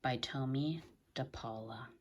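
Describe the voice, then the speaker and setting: A woman reading a children's story aloud in an expressive, deliberate way, softening at the ends of phrases. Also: extremely low pitch, muffled recording, background noise. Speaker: story reader.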